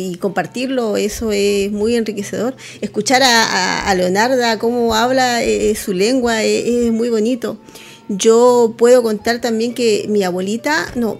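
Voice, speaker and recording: A woman's voice: on the high side, moderate pace. A woman hosting a radio interview, encouraging and affirming her guests. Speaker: radio host.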